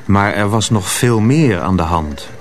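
Guy narrating a radio play, takes his time, lowish voice with lots of inflection. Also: lively intonation, faint music behind. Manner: measured, storytelling. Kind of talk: scripted dramatic radio narration.

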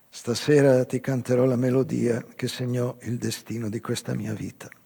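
An older male actor playing a weary, melancholy old man confessing a past crime in a halting, raspy theatrical monologue. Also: medium pitch, darkish tone, exaggerated voice. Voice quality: raspy, husky, breathy. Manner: halting, slow, dramatic pauses. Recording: live music behind the voice.

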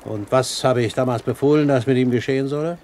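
An actor playing a commanding pirate captain in a radio play, courtly, measured and sardonic in manner. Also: male voice, medium pitch, a little mellow, close to an everyday voice. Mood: stern, sardonic. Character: suave, commanding pirate captain and great-uncle. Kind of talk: German radio play acting. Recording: faint background noise.

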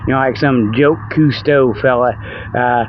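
A man's voice: mid-range voice, measured pace, slight background noise. Dull lo-fi older man, rambling folksily. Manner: folksy, meandering, chuckles at own jokes. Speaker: host of a hobby internet radio show. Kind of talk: rambling casual monologue, joking. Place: outdoors by a river.

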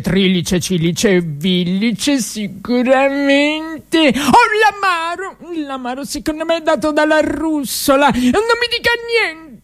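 Pompous tipsy countess, swooping high. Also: female voice, a bit bright, heavily acted. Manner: affected French phrases, savoring drawn-out words. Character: pompous tipsy aristocratic gourmand countess, affected and gushing. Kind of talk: theatrical comic radio monologue.

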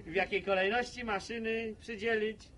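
A man's voice: high-pitched, steady tone, normal speed, a bit bright. An actor playing a talkative, folksy village man with a wagon in a radio play, stammering and repeating himself as he banters. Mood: insistent. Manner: chatty, repetitive, stammering banter with folk sayings.

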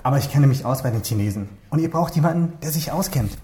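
A man's voice: medium pitch, expressive, fast, warm tone, lightly acted. An actor playing an eager but frustrated young rookie policeman in a naturalistic radio crime drama, understated and mildly defiant. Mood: frustrated, insistent. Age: young adult. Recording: clearly noisy ambience behind voice.

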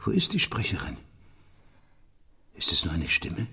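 An actor playing a blind, brooding, commanding gentleman broken by misfortune in a German radio play, with a husky, breathy, intense delivery. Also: deep voice, huge pitch swings, a little slow, heavily acted. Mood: gruff, suspicious. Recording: studio radio play with music.

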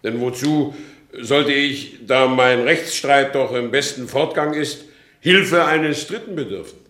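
An actor playing a wronged horse dealer in a German radio drama, courteous and formal but insistent. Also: male voice, mid-range voice, takes it slowly, a bit bright. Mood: defensive, composed. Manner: formal, deferential yet insistent. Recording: roomy studio radio drama recording.

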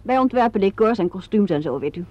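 An actress playing a witty, brisk theatre designer who keeps her nerves in check, chatting self-deprecatingly in an old radio play. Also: medium pitch, lively intonation, warm tone, natural voice. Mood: light, wry. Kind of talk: vintage Dutch radio play acting. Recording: dull lo-fi vintage radio recording.

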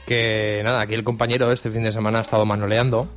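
A man with an accent from Spain co-hosting a radio show in casual, halting phrases on a studio microphone. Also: fairly low pitch, little pitch movement, faint music behind. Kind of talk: casual live radio chat.

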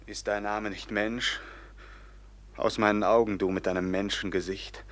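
A man's voice: lowish voice, level tone, a little slow, lightly acted. An actor playing an anguished, betrayed young man turned grim robber captain, declaiming with passion in a classic German radio drama. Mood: anguished rage. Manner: declamatory, impassioned, bursting from whispers into cries.

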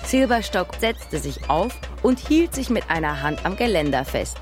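A woman looking back on a childhood mystery, narrating a radio play. Her voice sits fairly low and moves in lively, expressive curves. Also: moderate pace, over music. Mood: suspenseful.

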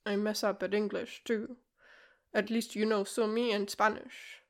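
A woman voicing a reserved, awkward grown man, speaking low and slow in short replies. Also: level tone, lightly acted.

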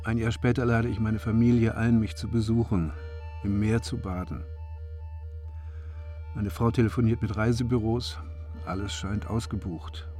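A man's voice: lowish voice, fairly even intonation, normal speed, mellow, natural voice. An actor playing a weary, grieving architect father who tells his life in a literary radio drama monologue. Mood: sombre, pained. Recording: studio voice with guitar music behind.

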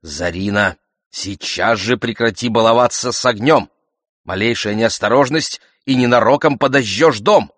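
A man's voice: mid-range voice, big pitch swings, a little slow, fairly bright tone, no room sound, studio quality, voice pushed up. Man acting a stern, indignant mother, raised voice. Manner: scolding, emphatic, curt. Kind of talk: audiobook character voice.

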